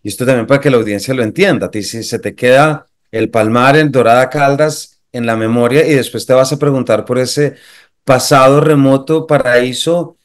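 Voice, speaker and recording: A man hosting an interview, asking long, winding questions. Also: medium pitch. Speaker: interviewer, host. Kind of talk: conversational interview.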